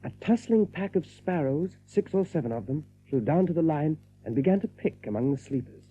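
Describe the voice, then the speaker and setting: A man's voice: on the high side, lively intonation, brisk, mellow, breathy edge. A man reading scripted story narration as the narrator of an audiobook drama. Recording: narrow, phone-like audiobook recording.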